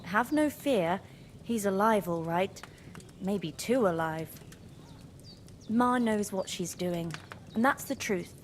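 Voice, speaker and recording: A woman's voice: medium pitch, expressive, takes it slowly, long pauses, a little hiss or hum. An actress playing a cheerful young village woman in a game, chatty and teasing with rustic speech. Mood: teasing, reassuring.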